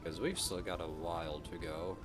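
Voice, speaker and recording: A man's voice: low voice, fairly even intonation, moderate pace, music in the background. A man streaming a game live, chatting casually to his viewers and musing aloud to himself. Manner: talks to chat and muses aloud to himself.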